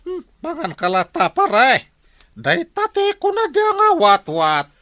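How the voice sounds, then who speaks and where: An actor with an Ilocano accent playing a hungry, joking middle-aged compadre in a radio comedy, laughing and teasing often. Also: male voice, very high-pitched, wildly swooping pitch, slow pace, sharp tone, no echo, exaggerated voice. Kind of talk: 1950s-style radio comedy acting.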